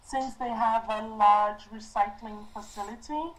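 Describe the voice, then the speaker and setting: A woman's voice: on the high side, steady tone, a little slow, low-quality recording. A woman student giving an informal project update to her group on a conference call.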